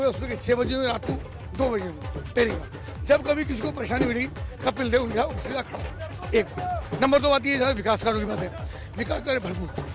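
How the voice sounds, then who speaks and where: A man campaigning in an interview, short of breath with a strained throat, emphatic and repetitive as he numbers his points. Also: very high-pitched. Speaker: election candidate being interviewed. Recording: clear music behind the voice.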